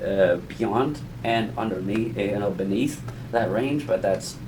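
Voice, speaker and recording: A man giving an informal conversational lesson as an explainer, in a halting, rambling way with self-repetition. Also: fairly low pitch, background noise. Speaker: audio explainer teaching beginners.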